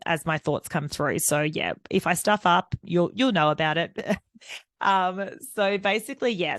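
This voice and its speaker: A woman's voice: deep voice, no room sound, clean studio sound. A woman, hosting a solo podcast in a candid, rambling way with lots of fillers. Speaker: podcast host. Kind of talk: unscripted solo podcast monologue.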